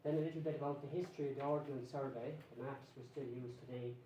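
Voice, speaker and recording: A man's voice: mid-range voice, steady tone, fast talker, mellow, echoey room, slight background noise, rough recording. A man with an Irish accent giving a public talk to a local history society, explaining things conversationally and checking in with his audience. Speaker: guest lecturer on place names. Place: meeting room with an audience.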